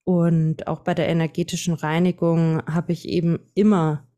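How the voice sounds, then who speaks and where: A woman in her late twenties with a Franconian German accent, a podcast guest explaining things at length in a relaxed way. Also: lowish voice, normal speed. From Franconia, Bavaria. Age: 20s. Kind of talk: casual podcast chat.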